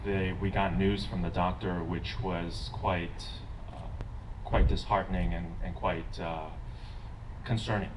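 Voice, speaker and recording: A man's voice: deep voice, little pitch movement, measured pace. A young man interpreting his mother's wedding speech into English, hesitant and searching for words. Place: outdoor garden wedding. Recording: distant mic, noisy outdoors.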